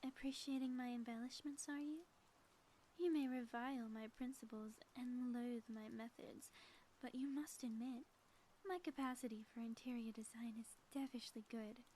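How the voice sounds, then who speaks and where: Gloating female supervillain, played by a woman, high but mellow voice, grandiose and teasing, for intimate audio roleplay. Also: slight background noise, natural voice, poor audio. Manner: grandiose, teasing, dramatic pauses. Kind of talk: scripted audio roleplay, ASMR.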